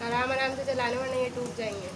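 Woman, home cook, plain step-by-step narration, slightly high voice, noisy kitchen recording. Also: fairly even intonation, fast talker. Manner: plain, explains each step as she works. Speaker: home cook presenting a recipe. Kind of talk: step-by-step cooking instructions. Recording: home video with loud background noise.

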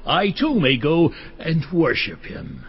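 A falsely pious, scheming king gives orders slyly, his pitch swinging widely. The scripture drama sounds a little dull, with faint noise behind him. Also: huge pitch swings, takes it slowly, vocal fry, exaggerated voice. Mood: sly, commanding. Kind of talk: dramatized Bible reading.

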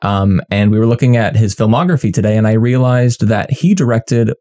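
A laid-back young man chatting on a podcast, with a low, warm, dark voice. He tosses in casual swear words as he talks. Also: brisk. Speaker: podcast co-host. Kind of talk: casual chat.

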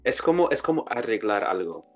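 Low-voiced young man hosting a language video. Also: very animated, moderate pace, soft background music. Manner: playful, guesses aloud, repeats words. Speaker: host. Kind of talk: language-learning video chat. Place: room at home.

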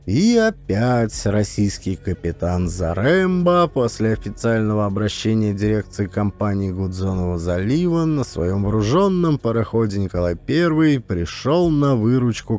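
A man narrating an adventure audiobook as a scripted story, with expressive, emphatic delivery. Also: fairly low pitch. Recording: music behind the voice. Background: music.

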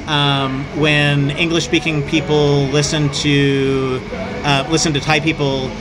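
A middle-aged man hosting a casual interview over drinks, talking in a halting way with many restarts and fillers. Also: fairly high pitch, little pitch movement, background noise. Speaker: host. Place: busy bar at night, indoors. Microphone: small tripod mic on the table. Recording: loud music in a bar.

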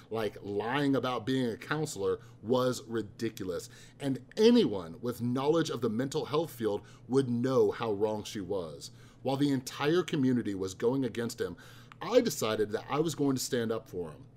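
Casting note: man in his thirties, subdued steady read-aloud of his own writing, middle pitch. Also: moderate pace. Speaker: author.

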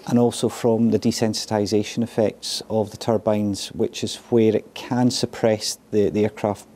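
A man's voice: lowish voice, level tone, normal speed, dark tone. A middle-aged man giving an explanatory interview indoors as a manager. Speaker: air traffic control manager. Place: indoors, glazed control tower room. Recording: faint room background noise.

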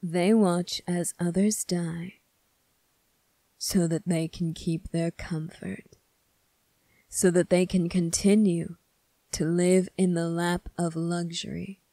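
A woman voicing a stern, bitter young male demon prince in an ASMR roleplay, speaking deliberately and accusingly, at times sinking toward a whisper. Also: lowish voice, flat delivery, mellow, dry recording. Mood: solemn, condemning. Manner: slow, deliberate, accusing, with breathy whispered phrases. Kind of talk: scripted ASMR roleplay monologue.

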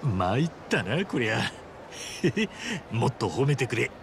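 A man voicing a loyal, big-hearted middle-aged ex-yakuza hero with blunt, rough street speech in a video game. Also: mid-range voice, very animated, slow pace, noisy background. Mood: friendly. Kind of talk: Japanese video game voice acting.

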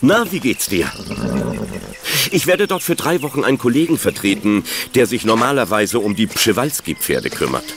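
An actor playing a kindly, gently teasing father of a teenager in a German children's audio drama. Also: medium pitch, very animated, normal speed, noisy background, natural voice. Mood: friendly.

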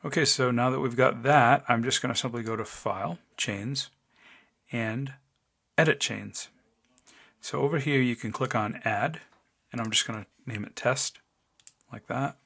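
Male tutorial host, deep voice, casual and methodical screencast narration into a desk mic. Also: unhurried, vocal fry, long pauses, slightly rough. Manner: relaxed, talks through each click step by step. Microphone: desk microphone, voice-over of screen recording.

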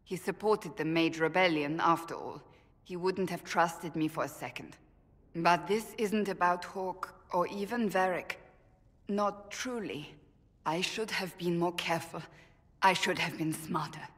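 A woman's voice: lowish voice, measured pace, a bit bright. A woman playing a fierce, devout, hot-tempered warrior in a video game, speaking with formal intensity and blaming herself. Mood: regretful, bitter. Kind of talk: dramatic video game acting. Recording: long hall reverb.

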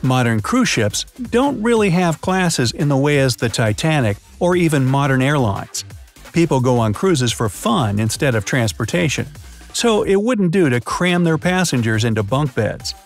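A man's voice: medium pitch, big pitch swings, normal speed. A man reading a scripted explainer narration, dropping in playful jokes now and then. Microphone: studio voice-over. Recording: faint background music.

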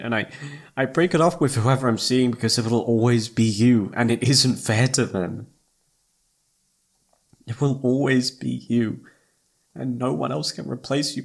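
Man acting a husky, lovesick young guy. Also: mid-range voice. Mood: earnest longing. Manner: halting, emotional, confessional. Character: lovesick, self-blaming young male best friend confessing love. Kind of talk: intimate ASMR romantic roleplay acting.

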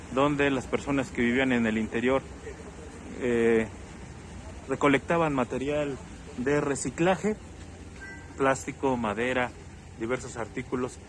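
A man giving a steady, factual live news report on location outdoors, with a few fillers. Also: medium pitch. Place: outdoors on a city street. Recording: noisy outdoor street recording. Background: street traffic noise.

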